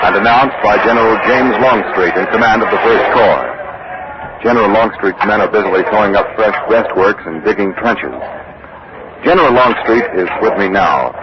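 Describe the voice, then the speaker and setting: An actor playing an earnest war correspondent, reporting live in a formal 1940s radio news style. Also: male voice, lowish voice, moderate pace, natural voice. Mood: businesslike. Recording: muffled, very noisy old radio recording.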